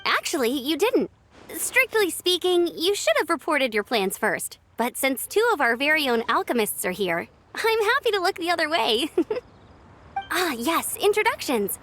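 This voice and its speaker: A woman's voice: high-pitched, big pitch swings, moderate pace, a bit bright, slight background noise. A woman voicing a perky, outgoing young scout in a video game, eager, friendly and chatty. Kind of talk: video game character voice acting.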